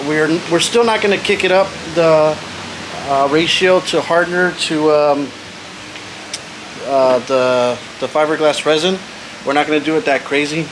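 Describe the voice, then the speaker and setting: A man with a slightly high voice talks viewers through a hands-on job in a casual vlog. He is informal and slangy and tends to repeat himself. Outdoor ambience and vehicle noise come through clearly around his voice. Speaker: host of a DIY car audio video channel. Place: backyard, outdoors. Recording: noisy outdoor recording, vehicle and ambient sounds.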